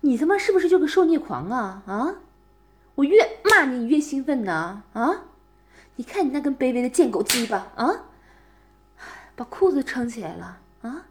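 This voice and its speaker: A woman's voice: sits high, big pitch swings, normal speed. A woman voicing a scornful young woman who mockingly berates her ex-boyfriend in a one-voice audio roleplay, sneering and full of insults. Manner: sneering, mocking, profane, keeps taunting with "啊？".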